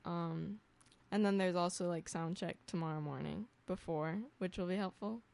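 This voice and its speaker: A woman's voice: lowish voice, steady tone, normal speed, vocal fry, a little mellow. A young woman musician being interviewed on the radio, speaking hesitantly with many fillers. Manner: hesitant, many ums and likes. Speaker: guest musician. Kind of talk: casual interview. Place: radio studio.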